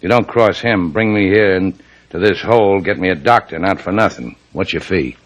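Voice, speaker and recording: An actor playing a tough, laconic, sardonic boat skipper with an American accent, in the style of old radio drama. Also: male voice, sits low, normal speed, dull audio, close to an everyday voice. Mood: wry, suspicious. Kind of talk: 1940s-50s American radio drama acting.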